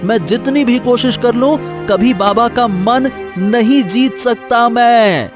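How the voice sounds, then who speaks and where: A man's voice: very high-pitched, takes it slowly, music in the background. A man playing a sulky, resentful younger brother who feels unloved, in a moral story.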